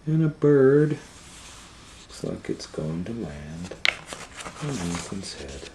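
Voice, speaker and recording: A man hosting a storytime, reading a picture book aloud to children in an unhurried way with long pauses and hushed asides. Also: low-pitched, very animated, slight background noise. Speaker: storytime host and reader. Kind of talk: animated read-aloud for children. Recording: roomy, echoey room sound.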